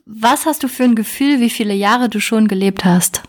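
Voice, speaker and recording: A woman's voice: a little high, moderate pace. Woman in her thirties hosting a podcast. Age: 30s. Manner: laughs often, asks reflective questions. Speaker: podcast host. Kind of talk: casual podcast chat.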